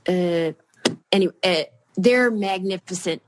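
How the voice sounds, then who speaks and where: An older woman chatting as a podcast guest, rambling and halting, with many fillers and restarts. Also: on the low side, noisy background. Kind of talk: casual chat.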